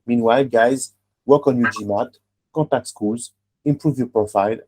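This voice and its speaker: A man with a warm, darker voice and German as his first language coaches business school applicants over a video call. He speaks at an ordinary pitch and keeps pressing his points with questions like "huh?" and "okay?". He sounds like a seasoned advisor. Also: normal speed, poor audio. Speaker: admissions coach and webinar host. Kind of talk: online webinar talk with a student guest.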